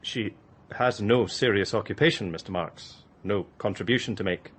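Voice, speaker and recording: Husky, condescending doctor. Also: male voice, lowish voice, normal speed. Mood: detached. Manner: condescending, clinical. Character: callous, condescending male doctor, clinically brisk. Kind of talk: radio drama acting.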